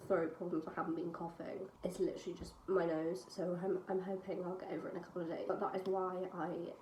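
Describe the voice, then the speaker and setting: A young woman, talking in a fairly low voice and nasal from a head cold, rambles cheerfully through a casual chat. She sits a little away from the mic. The room sounds echoey, with a faint hiss behind her. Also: flat delivery, quick pace, warm tone. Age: young adult. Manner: chatty, rambling, with fillers like "kind of". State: stuffy nose from a cold, slightly nasal. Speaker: book vlogger. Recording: roomy sound, distant mic, faint noise.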